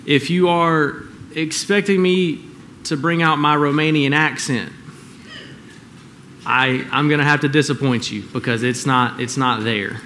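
A man's voice: fairly high pitch, fairly even intonation, a little slow, pushed and bright, a little hiss or hum. A man preaching a sermon in a conversational way, often checking in with the congregation with questions. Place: church.

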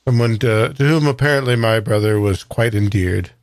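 A man's voice: on the low side, moderate pace, no echo, lightly acted. A man playing a reserved, bookish old man in a tabletop game, speaking formally and hesitantly. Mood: evasive.

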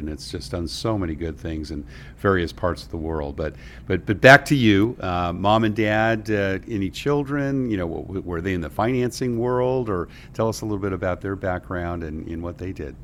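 A man's voice: low voice, slight background noise. A man hosting an interview podcast, chatty and rambling, with frequent restarts. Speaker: podcast host.

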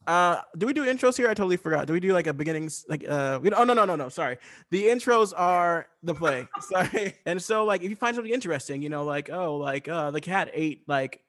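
Rambling higher-pitched man, casual. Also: expressive, fast, fairly bright tone. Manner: rambling, self-interrupting, loose. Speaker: improv comedian on a podcast. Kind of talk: casual explaining.

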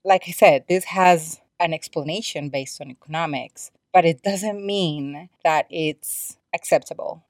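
A woman's voice: low-pitched, unhurried, few pauses, no room sound, studio quality. A woman hosting a podcast solo, talking in a conversational, explanatory and opinionated way. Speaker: podcast host.

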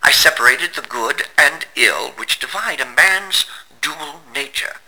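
A man's voice: a little high, lively intonation, measured pace, pushed and bright, slight background noise, exaggerated voice, poor audio. A man as a tense, tormented Victorian scientist. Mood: solemn confession. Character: tormented, proud Victorian gentleman scientist confessing his downfall. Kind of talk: dramatic monologue in gothic audio drama.

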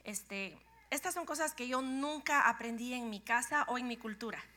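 Guatemalan lady chatting to the congregation, highish bright voice, jokes a lot. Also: normal speed. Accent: Guatemalan Spanish. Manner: lively and joking, laughs often. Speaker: guest speaker, pastor's wife. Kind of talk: church talk to a congregation.

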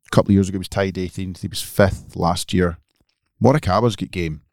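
A man's voice: low voice, fairly even intonation, brisk, darkish tone, dry recording, studio quality. A man co-hosting a podcast, giving emphatic, opinionated golf picks in casual chat. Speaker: podcast co-host.

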